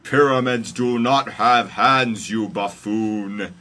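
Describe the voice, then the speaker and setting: A man's voice: fairly low pitch, slow, sharp tone, exaggerated voice. A man voicing a pompous, scolding God turned into a dog, declaiming in a comic story. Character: grand, scolding male God in canine form.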